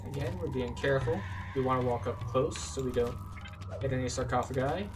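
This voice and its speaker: A man's voice: medium pitch, fairly quick, far from the mic. A man giving casual, explanatory gameplay commentary as a methodical tour guide. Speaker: video game tour guide, player narrating a playthrough. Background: game music.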